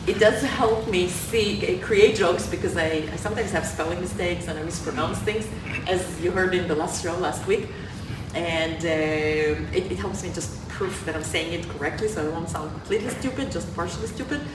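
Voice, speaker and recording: A woman, Russian-born, speaks candidly and with self-deprecating humor, often restarting her sentences. Her voice sits fairly low and is picked up from a distance in a roomy hall. There is a steady hiss of noise around her. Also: moderate pace, music in the background. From Israel. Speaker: startup founder panelist. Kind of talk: live panel discussion. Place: event hall with audience. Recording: echoey room, distant mic, noisy.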